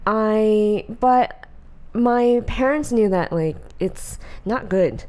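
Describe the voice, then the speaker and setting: A soft-spoken woman co-hosting a podcast, chatting casually in a quiet voice. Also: medium pitch, very slow, clear, resonant tone. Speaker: podcast co-host. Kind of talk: casual chat.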